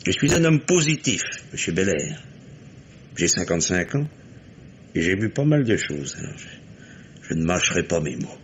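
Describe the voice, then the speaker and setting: An actor playing a blunt, formal industrialist father in his fifties, confiding deliberately in an old-style French radio drama. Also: sits low, sing-song, lo-fi sound, a little hiss or hum. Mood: blunt, businesslike. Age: 50s. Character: fifty-five-year-old industrialist father, positive, discreet, blunt.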